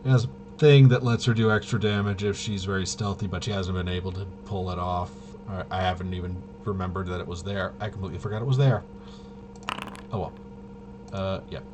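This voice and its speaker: A man thinking aloud in casual tabletop gameplay commentary, halting and muttering to himself. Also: sits low, steady tone, background noise. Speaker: tabletop RPG player and presenter.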